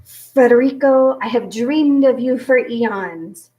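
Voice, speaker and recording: A woman's voice: fairly high pitch, takes it slowly, darkish tone, pitched above the performer's own voice. A woman voicing an eager, gushing mother who writes romance, in an effusive storybook reading. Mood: dreamy. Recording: roomy echo, distant from mic.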